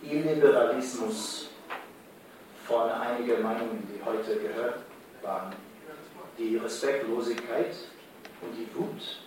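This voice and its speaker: A man whose first language is not German, speaking haltingly on a discussion panel and searching for his words. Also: mid-range voice. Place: large hall at a community event. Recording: roomy hall sound from far off, noise.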